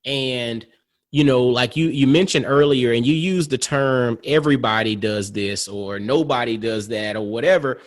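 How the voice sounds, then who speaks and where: A man's voice: medium pitch, moderate pace. A man in his forties hosting a conversational motivational podcast interview, speaking in an earnest, affirming and emphatic way. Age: 40s. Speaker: podcast host.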